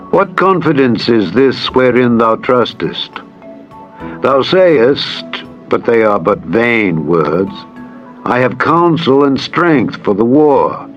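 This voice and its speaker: Man reading as a haughty, declamatory royal envoy, music behind. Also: mid-range voice. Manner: declamatory, challenging, formal. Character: haughty Assyrian envoy taunting a besieged people. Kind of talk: dramatized scripture reading. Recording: dull lo-fi audio with music.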